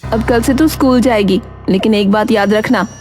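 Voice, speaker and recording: A woman's voice: on the high side, moderate pace, music in the background, natural voice. A woman voicing a worried, strict mother from a poor household in a cartoon story, admonishing in manner. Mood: stern warning. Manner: stern, admonishing.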